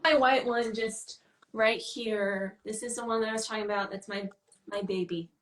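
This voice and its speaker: A woman's voice: fairly high pitch, steady tone, normal speed. A woman being interviewed as a guest on a livestream call, chatting casually and informally, thinking aloud as she goes. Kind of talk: casual chat. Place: indoor room on a livestream call. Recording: roomy, echoing livestream call.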